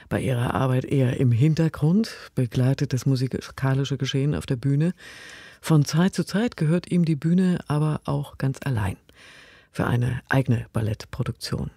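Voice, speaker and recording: Mellow-voiced male radio presenter. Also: mid-range voice, dry recording, clean studio sound. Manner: smooth, formal announcing. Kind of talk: scripted radio moderation.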